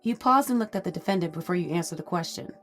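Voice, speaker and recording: A prosecutor grills a witness in a lowish voice, as an actress plays her. Her questions are pointed and pressing. Soft music sits faintly underneath. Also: expressive, fast talker.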